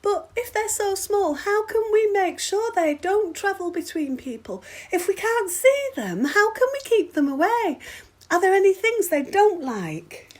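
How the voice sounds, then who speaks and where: A woman's voice: very high-pitched, sing-song, a little slow, full character voice. A woman playing a curious, slightly anxious young girl asking questions in a children's story.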